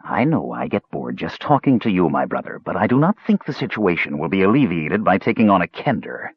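A man voicing a sour, aloof and menacing mage, cold and deliberate, as an audiobook character. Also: low-pitched, big pitch swings, husky, no echo, dull audio, exaggerated voice. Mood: disdainful.

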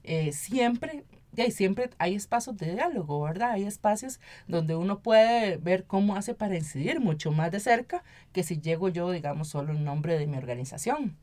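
A woman's voice: lowish voice, animated, normal speed, darkish tone. Costa Rican woman explaining in an interview. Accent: Costa Rican Spanish. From Costa Rica. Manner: explanatory, often ends phrases with a checking tag question. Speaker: interview guest, local councillor and activist. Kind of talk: conversational interview.

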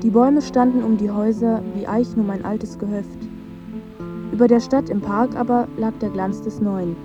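A woman's voice: fairly high pitch, fairly even intonation, moderate pace, dull audio, over music, lightly acted. An actress playing a dreamy, wistful schoolgirl narrating her daydream lyrically in a radio play.

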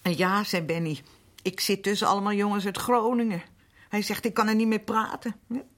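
A woman's voice: medium pitch, steady tone, a little fast, a bit bright, lightly acted. An actress playing a chatty middle-aged working-class mother who rambles and defers to her husband, in radio drama. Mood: plaintive, explaining. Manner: rambling, repetitive, seeking confirmation. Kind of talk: naturalistic Dutch radio drama acting.

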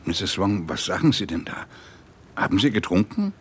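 An actor playing an exhausted, obsessed police detective, intimate and brooding, in a naturalistic radio drama. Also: male voice, sits low, very animated, normal speed. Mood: alert, questioning. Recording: clear rain and ambience noise.

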